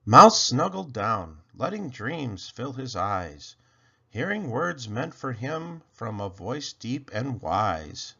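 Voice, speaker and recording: A man reading a rhyming picture book aloud to children in a theatrical, deliberate way. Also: medium pitch, very animated, vocal fry, fairly bright tone, slightly rough, dull audio. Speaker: children's storybook reader.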